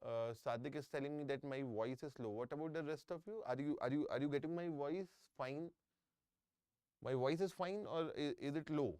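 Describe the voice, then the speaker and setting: A man's voice: a little high, normal speed, darkish tone, clean tone, no room sound, studio quality. A middle-aged man with an Indian English accent, teaching a live online engineering class, often repeating himself and checking in with students. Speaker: engineering exam tutor. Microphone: close microphone.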